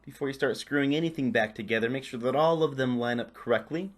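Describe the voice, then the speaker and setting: A man with a medium-pitched voice presents a practical tutorial. He explains each step casually and without a script, talking as he works. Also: quick pace. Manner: unscripted, narrating while working. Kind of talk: casual step-by-step hands-on instruction.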